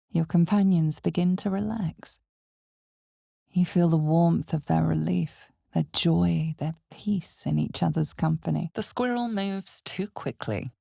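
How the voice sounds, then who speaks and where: A woman playing the ominous, wry narrator of a dark fantasy game, with measured, deliberate, dramatic delivery. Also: deep voice, creaky, no echo, studio quality, full character voice.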